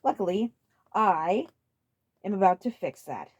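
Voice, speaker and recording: A woman voicing a gruff, pompous villainous turtle-dragon king in a dramatic story reading. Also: medium pitch, expressive, unhurried, muffled recording, background noise, close to an everyday voice. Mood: scornful. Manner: pompous, gloating, emphatic. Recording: loud music under the voice.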